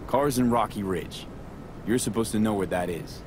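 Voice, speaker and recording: Game role: male actor as cool street merc, fairly low, terse and practical, noisy vehicle ambience. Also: normal speed, close to an everyday voice. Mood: businesslike. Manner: terse, clipped, matter-of-fact. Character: cool, pragmatic street mercenary man. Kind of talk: naturalistic video game acting. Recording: vehicle and ambience noise behind.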